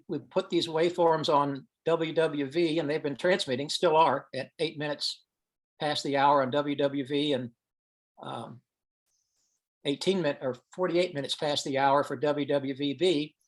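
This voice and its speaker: Higher-voiced man explaining technical slides. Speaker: presenter at an amateur radio science teleconference. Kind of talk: informal technical presentation with slides. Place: online teleconference call.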